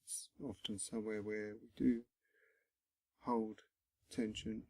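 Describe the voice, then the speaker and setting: Slow, low, husky male meditation guide. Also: flat delivery, no room sound. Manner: gentle, instructional, with frequent pauses between short phrases. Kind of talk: guided body scan meditation.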